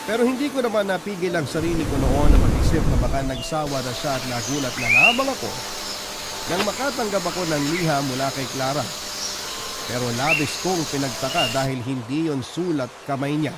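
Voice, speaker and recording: A man's voice: on the high side, animated, a little fast, very noisy. Earnest man narrating a love story. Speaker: narrator reading a listener's letter. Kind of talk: scripted narration.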